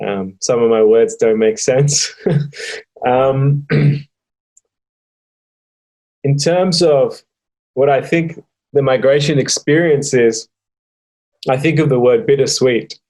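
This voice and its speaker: A young man with an Australian accent, speaking slowly and reflectively with many pauses, like an interviewee telling his family's story. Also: mid-range voice, a little mellow, low-quality recording. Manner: slow and thoughtful, with frequent pauses and fillers. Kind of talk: reflective personal testimony.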